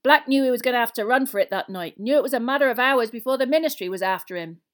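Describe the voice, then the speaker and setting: A fierce, loyal giant of a man from a storybook as a woman reader acts him out. He growls in rough, folksy, emphatic speech, pitched high for such a giant. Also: fast talker, close to an everyday voice. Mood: bitter.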